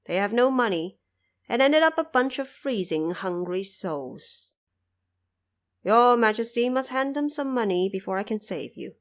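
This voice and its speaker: A woman acts out a solemn judge of the underworld in a fantasy audio drama. He speaks slowly and formally, with grave courtesy and quiet authority. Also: on the high side, very animated. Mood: solemn, advising.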